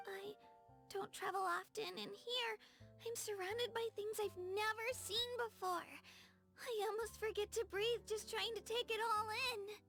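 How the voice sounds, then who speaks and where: Very high girlish voice, shy game character, anxious, polite, hesitant stammer, bright tone, music behind. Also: fairly even intonation, a little slow, heavily acted. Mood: anxious, earnest. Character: shy, anxious, polite young girl, eager to please.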